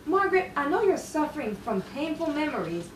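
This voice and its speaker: Actress playing an anxious, high-voiced pleading young woman. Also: sing-song, a little fast. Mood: distressed pleading. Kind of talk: 1950s-style romantic radio drama acting. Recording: roomy, distant, faintly noisy stage sound.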